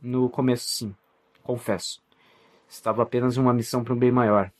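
A man's voice: medium pitch, little pitch movement, fairly quick, natural voice. Casting: male actor as pleading boyfriend in horror audio drama, earnest, mid-range voice, sincere confession. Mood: remorseful, earnest. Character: remorseful young male lover, pleading sincerely.

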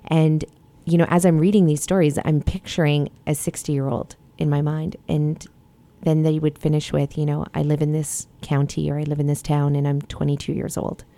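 Low, flat-voiced woman podcast host. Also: normal speed, no room sound. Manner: earnest, passionate, rambling with many fillers. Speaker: podcast host and health coach. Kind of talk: casual podcast conversation.

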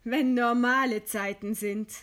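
A woman playing a composed, resigned writer in a radio play, speaking calmly and with formal courtesy. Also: on the high side, measured pace. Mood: resigned, understanding. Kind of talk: read radio play dialogue.

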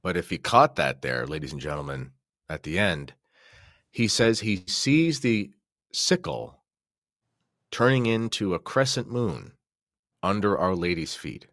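Deep-voiced man giving podcast commentary. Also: very animated, unhurried, long pauses, a bit bright, dry recording, clean studio sound.

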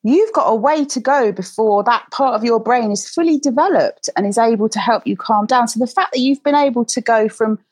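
A woman in her forties hosting a podcast, chatty and encouraging, speaking gently to a child guest. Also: a little high, slightly rough, dry recording. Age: 40s. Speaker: podcast host. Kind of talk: warm casual interview chat.

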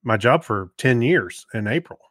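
Middle aged guy rambling on a podcast, medium pitch, dry close sound, stops and starts. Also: lively intonation, normal speed, a bit bright, dry recording. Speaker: podcast co-host. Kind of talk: casual chat.

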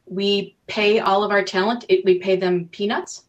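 A woman with a middling pitch speaks as a producer in a relaxed discussion. She explains things in a measured, matter-of-fact way. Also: muffled recording. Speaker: podcast producer, panel guest. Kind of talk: casual panel discussion.

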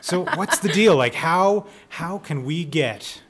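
A man's voice: a little high, lively intonation, slow pace, a bit bright, slight background noise. A man co-hosting a casual talk show, bantering in a blunt, jokey, mock-indignant way.

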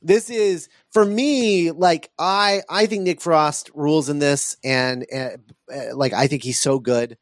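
Male podcast host, slightly higher register, earnest and emphatic in casual film chat, dry recording. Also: slow pace, hardly any pauses, dry recording.

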